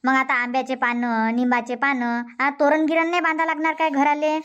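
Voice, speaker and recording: A very high-pitched cartoon housewife, acted by a woman, nags with an aggrieved air. She has a Varhadi Marathi village accent and scolds in repeated, complaining runs. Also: level tone, a little fast, fairly bright tone, highs cut off. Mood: nagging. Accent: Varhadi Marathi (Vidarbha). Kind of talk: Marathi comedy cartoon dubbing.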